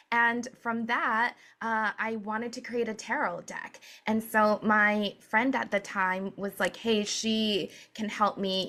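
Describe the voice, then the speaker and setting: A woman from Hawaii, a business coach and author, telling her story in an energetic, earnest way and laughing often. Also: mid-range voice. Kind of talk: conversational interview answers.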